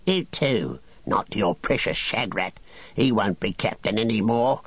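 A man's voice: on the low side, animated, measured pace, husky, no room sound, dull audio, heavily acted. A man reading a story, voicing a small, quarrelsome male orc tracker who snarls and whines. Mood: taunting. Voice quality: snarling, nasal.

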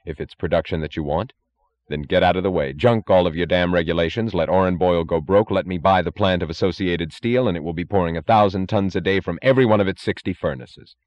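A man voicing a calm, blunt industrialist who speaks in a cold, matter-of-fact, logical way. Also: low voice, fast talker, muffled recording, lightly acted. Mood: blunt, dismissive. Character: calm, blunt, defiant male steel industrialist, coldly logical.

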